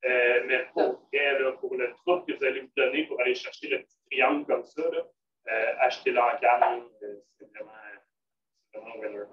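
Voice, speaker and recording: A man's voice: medium pitch, steady tone, normal speed. Québécois man demoing vegan cooking, from afar. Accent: Québécois French. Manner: casual, many fillers and restarts. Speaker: cook at a vegan restaurant. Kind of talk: live cooking demonstration. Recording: roomy, distant, dull, faint noise.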